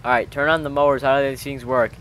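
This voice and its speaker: A man with an average-pitched voice commentates casually on a game he is playing. He talks loosely and chattily with slang, like he is hanging out with buddies. Faint vehicle noise runs behind him. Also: flat delivery, fast, a bit bright. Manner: chatty, informal, peppered with bro-style slang. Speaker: gaming video host. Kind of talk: casual roleplay commentary while playing a video game with friends.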